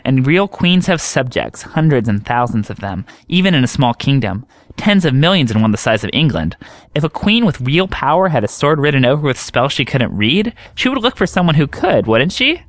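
A man's voice: medium pitch, no echo, pitched above the performer's own voice, close to an everyday voice. A man voicing an earnest young man who explains his strange dreams in a thoughtful, reasoning way.

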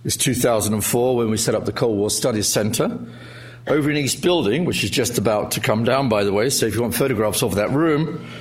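A man's voice: medium pitch, fairly quick. Man, middle-aged, event chair; formal intro, hesitant, wry. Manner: hesitant, frequent uh fillers, wry humor. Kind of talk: formal introduction speech with jokes. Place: lecture hall with audience.

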